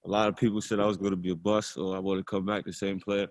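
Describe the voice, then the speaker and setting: Young male athlete, lowish voice, easygoing, restarts his words, dry close sound. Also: fast, dry recording. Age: young adult. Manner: relaxed, repeats words while thinking. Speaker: college basketball player. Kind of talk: casual interview answers.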